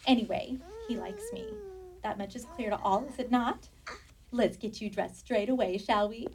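A woman's voice: fairly high pitch, sing-song, moderate pace, darkish tone, slight background noise. A woman playing a bustling, bossy nun fussing over a baby in a community radio play. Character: fussy, doting nun caring for a baby. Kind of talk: community radio drama acting.

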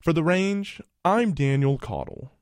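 A man's voice: fairly high pitch, lively intonation, unhurried, no room sound. A man reading a scripted radio news report into a studio microphone.

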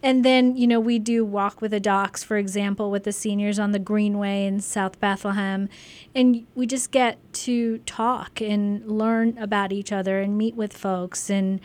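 A woman's voice: medium pitch, fairly even intonation, measured pace, a little mellow. Earnest middle-aged woman guest. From Sri Lanka. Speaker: physician and volunteer guest. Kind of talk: studio interview answers.

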